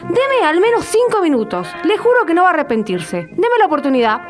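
Actress as a high, animated, cheerful stubborn woman writer. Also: brisk, soft background music. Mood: pleading, insistent. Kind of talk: radio drama acting.

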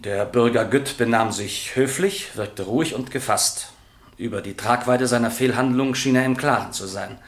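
A man acting a police expert witness who testifies in deliberate, factual officialese in a radio drama. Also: medium pitch, natural voice. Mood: dry, factual. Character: dry, precise male police expert witness. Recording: roomy, echoing radio drama studio.

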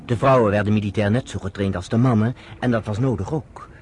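An actor playing a storyteller, narrating a radio drama in scripted prose. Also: male voice, fairly low pitch, quick pace, darkish tone, slight background noise, lightly acted. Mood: measured narration. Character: male storyteller recounting history to a woman listener.

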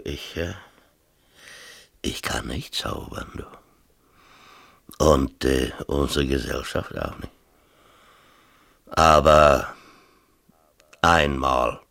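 An actor in a 1970s East German radio play, playing a harried but jovial middle-aged local official who talks colloquially and hesitantly, with many tag questions. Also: male voice, a bass voice, creaky, fairly bright tone, no room sound, studio quality, heavily acted.

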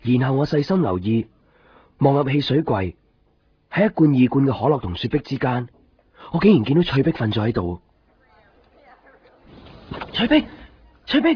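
A man's voice: mid-range voice, sing-song, moderate pace, long pauses, slight background noise, natural voice. An actor with a Hong Kong accent playing a mild, easygoing young shopkeeper who tells his story in a radio drama. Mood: alarmed, concerned. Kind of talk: radio drama narration and dialogue. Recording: dull 1990s radio drama recording. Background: prominent background music.

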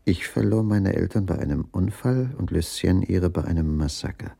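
Radio thriller lead: weary waiter, thirties, deep, husky and gravelly. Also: male voice, normal speed, mellow, no echo, studio quality, heavily acted. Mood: subdued, serious. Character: weary dining-car waiter, unhappily married man in thriller. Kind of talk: radio drama acting.

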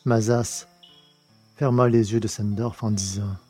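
A man reading a novel aloud in measured, deliberate narration. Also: on the low side, steady tone, mellow, faint music behind. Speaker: audiobook reader. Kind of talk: scripted narration of a science-fiction novel.

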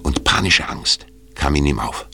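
A man's voice: rumbling low, animated, unhurried, creaky, sharp tone, soft background music, exaggerated voice. A man reading scripted suspense narration as the narrator of an audio drama.

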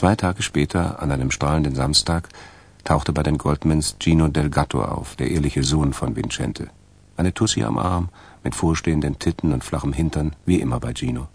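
A man narrating a radio crime drama in a measured, dry way, with a gravelly, husky voice. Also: a bass voice, exaggerated voice. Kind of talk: scripted crime story narration.

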